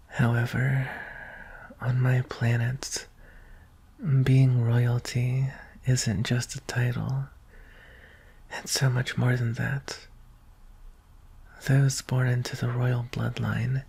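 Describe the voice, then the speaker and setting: A performer voicing a shy, anxious young alien prince in an ASMR roleplay, speaking softly and hesitantly in a breathy, husky half-whisper. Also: mid-range voice, heavily acted. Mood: soft, explaining. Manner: hesitant, halting, tender. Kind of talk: ASMR roleplay, soft intimate delivery.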